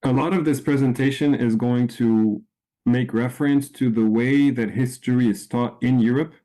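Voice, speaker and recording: A man from France giving an informal talk to a small group, unhurried, with frequent ums and restarts. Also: medium pitch, steady tone, warm tone. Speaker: presenter. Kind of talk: informal presentation.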